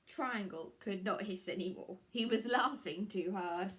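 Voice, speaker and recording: A woman teacher with an English accent reading a picture book aloud to children, gently asking them questions along the way. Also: mid-range voice. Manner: gentle, slow, asks the listening children questions. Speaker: primary school teacher.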